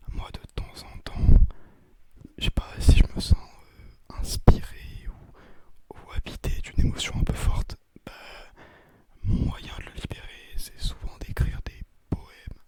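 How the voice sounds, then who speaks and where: A young man speaks in a breathy whisper, very near the microphone. He chats casually in ASMR style, rambling and hesitating in a self-deprecating way. Breath sometimes puffs on the mic. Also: measured pace, darkish tone. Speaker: ASMR video creator. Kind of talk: ASMR, casual chat to viewers. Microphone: close, sensitive microphone with occasional breath puffs and bumps.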